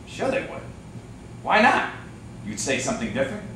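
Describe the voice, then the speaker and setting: A man's voice: medium pitch, huge pitch swings, moderate pace, bright, forceful tone. A man in an amateur staged reading playing a scheming fight fixer, bossy and condescending, with a veiled menace. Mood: smooth pressure. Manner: wheedling yet menacing, condescending. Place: echoey indoor room, staged reading. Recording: distant echoey room, faint noise.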